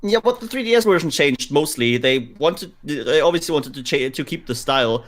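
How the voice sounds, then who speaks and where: A man's voice: fairly high pitch, expressive, normal speed, fairly bright tone. A man talking casually as a gaming podcast co-host, explaining things informally with some swearing.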